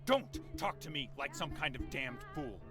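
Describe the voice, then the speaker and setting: An actor playing a self-righteous, bitter vampire preacher in a video game, with theatrical, archaic and pompous diction. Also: male voice, mid-range voice, big pitch swings, moderate pace, music in the background, lightly acted. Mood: indignant.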